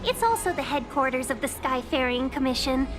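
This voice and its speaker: A woman playing a polite young fox woman, a smooth-spoken envoy and guide in a video game, with courteous, formal phrasing. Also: sits high, expressive, a little fast. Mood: polite urgency. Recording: music behind the voice.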